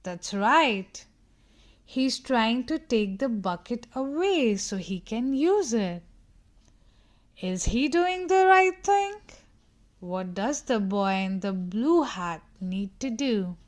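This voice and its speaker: A woman narrates a picture book for young children. Her voice sits a little high and she takes her time, speaking very slowly. She teaches as she reads, addressing the young listener directly with questions. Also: animated. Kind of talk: read-aloud storytelling for young children.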